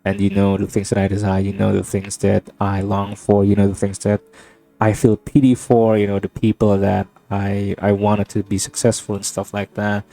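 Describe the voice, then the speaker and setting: A young Indonesian man with a lowish voice talks through his thoughts in a slow, halting way, often stopping to restart a sentence. He is a native Indonesian speaker, and his voice is close and dry, with faint music behind it. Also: completely flat delivery, gravelly, mellow, no echo, poor audio. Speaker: gaming vlogger. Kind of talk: rambling reflective monologue over gameplay.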